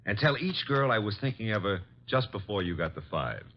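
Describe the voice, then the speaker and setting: On a muffled vintage radio recording, a brash, loyal private eye's sidekick. His low voice swings widely in pitch as he delivers slangy, punchy wisecracks. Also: animated, brisk. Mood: gallant, wry. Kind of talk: 1940s-50s radio drama acting.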